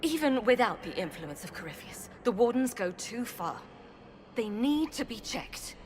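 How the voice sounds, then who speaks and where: Slightly high, outspoken female companion. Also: very animated, moderate pace, slight background noise. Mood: defiant. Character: principled, outspoken female fighter and loyal companion. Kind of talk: dramatic video game cutscene acting.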